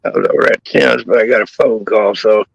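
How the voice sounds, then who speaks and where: A man's voice: lowish voice, a little fast, lo-fi sound. A man who is losing his voice, talking in casual crude banter as a caller on a live stream chat show, laid-back and rambling. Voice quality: gravelly, husky.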